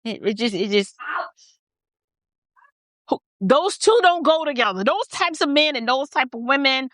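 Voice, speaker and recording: Female American political host, high voice, dry close sound, emphatic and blunt off-the-cuff delivery. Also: lively intonation, measured pace, fairly bright tone, no room sound. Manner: blunt, emphatic, repeating phrases for stress. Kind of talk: opinionated off-the-cuff commentary.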